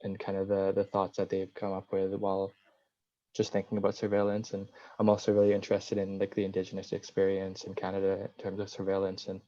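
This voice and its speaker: Man on a video call, mellow and very even, muffled lo-fi sound, earnest and halting. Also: low voice. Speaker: discussion participant. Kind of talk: casual video call remarks. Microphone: computer microphone on a video call. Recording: dull lo-fi call audio.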